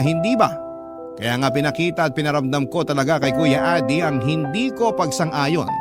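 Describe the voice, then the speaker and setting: A man narrating a love-story letter in an indignant, expressive storytelling style. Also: on the high side. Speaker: narrator reading a letter to the host. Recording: loud music underneath.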